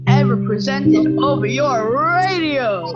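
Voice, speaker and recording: A woman's voice: high voice, expressive, takes it slowly. A woman announcing and narrating a scripted radio suspense play. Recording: dull lo-fi with music behind.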